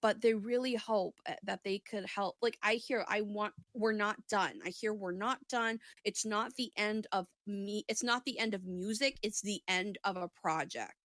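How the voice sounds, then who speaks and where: A woman's voice: medium pitch, normal speed, hardly any pauses, fairly bright tone, no echo, clean studio sound. A woman giving a casual intuitive reading in conversation, speaking tentatively and often rephrasing herself as she goes. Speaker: psychic reader on a talk show.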